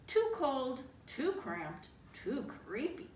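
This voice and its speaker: Woman voicing a high, squeaky little storybook mouse. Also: wildly swooping pitch, slow, reverberant, far from the mic, a little hiss or hum, heavily acted. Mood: grumbling. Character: tiny fussy male house mouse, cozy-loving squatter. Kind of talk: children's storybook character reading.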